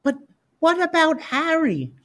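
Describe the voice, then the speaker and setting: A man's voice: very high-pitched, big pitch swings, a little slow, fairly bright tone, pitched above the performer's own voice, full character voice. A man voicing a curious, earnest little boy with eager questions in a bedtime storybook. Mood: wondering.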